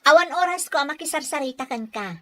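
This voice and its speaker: A woman with an Ilocano accent acting a busy, proud, sharp-tongued village housewife in an audio drama, brisk and blunt. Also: high-pitched, lively intonation, no echo. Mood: irritated, scolding. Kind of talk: Filipino audio drama dialogue.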